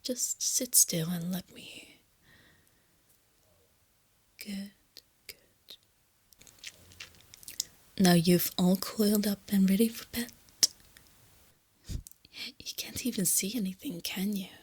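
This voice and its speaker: A woman voicing a female lamia captor in an ASMR roleplay, soft and breathy, teasing her captive with smug, possessive tenderness. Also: mid-range voice, slow, long pauses. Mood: whispered taunting. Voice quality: breathy, often dropping to a whisper. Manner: soft, taunting, condescending, intimate.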